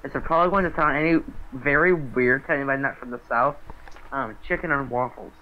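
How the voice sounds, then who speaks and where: A man's voice: mid-range voice, fast talker, highs cut off. A man in a casual chat among friends, hesitating with many ums.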